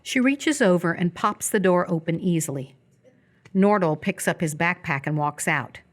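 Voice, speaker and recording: A woman reading scripted stage directions as the narrator of a live radio play, in a descriptive, measured manner. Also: lowish voice.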